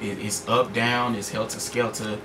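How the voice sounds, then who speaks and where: A man's voice: fairly low pitch, little pitch movement, normal speed, distant mic. An American man, a guest hip-hop artist, talking in a slangy, informal, rambling way in a casual radio interview. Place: radio studio. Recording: noisy studio, off the mic.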